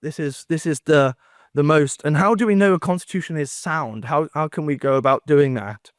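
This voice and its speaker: A man giving an academic conference talk in a wry, self-deprecating, halting way, with joking asides. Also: fairly high pitch, dry recording, clean studio sound. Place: conference room, speaking standing before an audience.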